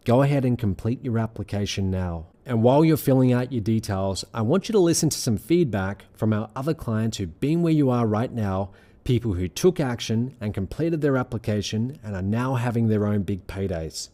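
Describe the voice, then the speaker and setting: Persuasive male sales narrator with a bright-edged softness. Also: mid-range voice, lively intonation, moderate pace. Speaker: presenter of an online money-making sales pitch.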